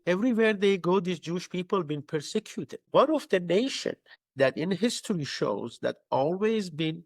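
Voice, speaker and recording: A middle-aged man from Iran with a Persian accent, a pastor answering interview questions in halting English full of fillers and restarts. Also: a little high, vocal fry, no echo. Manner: halting, searching for words, many fillers. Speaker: pastor and guest.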